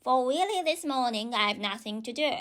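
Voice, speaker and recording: A woman's voice: a little high, lively intonation, moderate pace, fairly bright tone. A woman voicing a cunning, bossy old prosecutor in storybook verse, menacing, with a non-native English accent.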